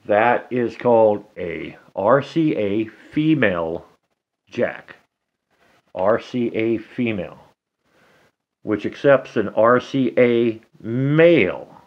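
A middle-aged man giving a casual hands-on repair tutorial at a table indoors, talking slowly and haltingly, half to himself as he works. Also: fairly low pitch, lively intonation, muffled recording. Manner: slow, halting, talks to himself while working. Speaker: DIY hobbyist demonstrating a repair.

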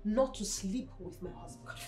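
A woman's voice: medium pitch, normal speed, natural voice. A woman playing an angry wife who accuses and fires her cook in a stern, cutting manner. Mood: accusing. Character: angry suspicious wife, lady of the house. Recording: echoey room with music behind.

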